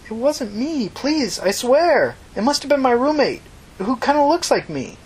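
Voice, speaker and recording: A woman's voice: high-pitched, sing-song, unhurried, a little mellow, breathy edge, voice pushed up, heavily acted, low-quality recording. A woman voicing a desperate, stammering teenage runaway girl in an emotional audiobook story. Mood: desperate pleading. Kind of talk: audiobook character dialogue.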